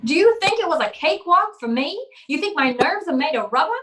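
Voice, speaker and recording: A woman's voice: sits high, very animated, brisk, a bit bright. A woman playing a wry, commanding, world-weary private detective in an old-time radio drama. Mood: tense, commanding.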